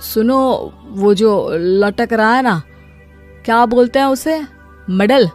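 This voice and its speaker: A woman's voice: fairly high pitch, slow, faint music behind. A woman voicing a plain-spoken village man in a moral story. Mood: questioning. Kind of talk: Hindi moral-story acting.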